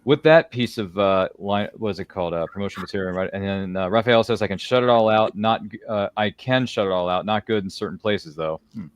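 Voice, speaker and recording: Man, casual show host, rapid delivery, dry close sound, chatty, digressive. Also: on the low side, smooth, no room sound. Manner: chatty, jokey, digressive, slangy. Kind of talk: casual roundtable hosting, reading viewer comments.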